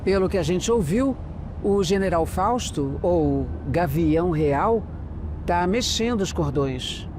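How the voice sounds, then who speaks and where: A woman's voice: lowish voice, lively intonation, slow pace. Smart composed lady detective, audio drama, sure of herself, crickets and noise behind. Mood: wary, assured. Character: sharp, composed female amateur detective, deductive and wary.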